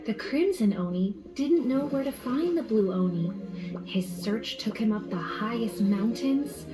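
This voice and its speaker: A woman narrating as a gentle storyteller of folk tales in a video game. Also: fairly high pitch, expressive, a little slow, low-quality recording. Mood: wistful storytelling. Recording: game audio with clear music.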